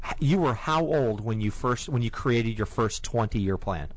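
A man's voice: mid-range voice, expressive, normal speed, a little hiss or hum. A man hosting an interview, asking questions conversationally and often repeating his first words as he starts. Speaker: interviewer, host.

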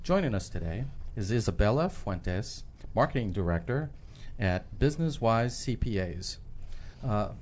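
A man hosting a short business radio segment, asking brisk, scripted questions. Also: on the low side, very animated, a little mellow.

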